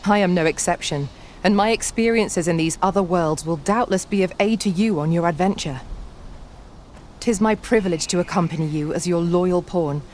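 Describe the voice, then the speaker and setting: A woman's voice: on the low side, normal speed, darkish tone, a little hiss or hum, close to an everyday voice. A woman playing a loyal, courteous pawn companion in a fantasy game, speaking in a formal, archaic, dutiful way. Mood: earnest, dutiful.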